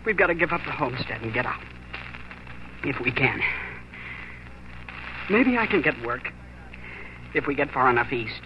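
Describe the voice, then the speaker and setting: An actor playing a desperate, defeated young homesteader husband in a 1940s radio drama, agitated and halting. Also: male voice, medium pitch, expressive, natural voice. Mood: defeated, frustrated. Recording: noisy, dull 1940s radio recording.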